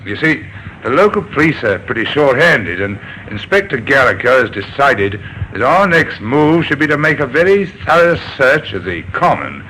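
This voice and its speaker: An actor playing a polite, deferential, slightly hesitant British police sergeant in an old-time radio drama, on a noisy vintage recording. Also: male voice, medium pitch, big pitch swings, normal speed, bright, forceful tone.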